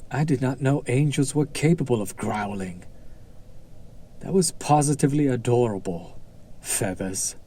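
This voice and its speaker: A man playing a smug, seductive demon in a roleplay audio drama, coaxing and condescending with deliberate pauses and a teasing menace. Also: medium pitch, mellow, husky.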